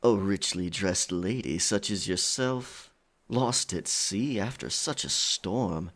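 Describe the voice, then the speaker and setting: A man's voice: low-pitched, slow pace, a bit bright, exaggerated voice. A man playing a roguish pirate captain, courteous but firm, with gallant old-fashioned phrasing in an intimate ASMR roleplay. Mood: gentle, appraising. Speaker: audio roleplay performer. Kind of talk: ASMR audio roleplay, intimate dramatic acting.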